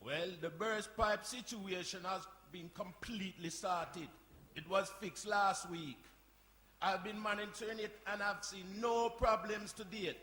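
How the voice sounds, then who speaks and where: An actor plays an earnest, harried caretaker in a radio drama. His high, bright voice carries through a very echoey hall. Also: fairly even intonation, unhurried. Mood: earnest, apologetic. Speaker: actor in a community radio play. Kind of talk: radio drama performed before an audience. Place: hall, recorded live. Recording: echoey hall with background noise.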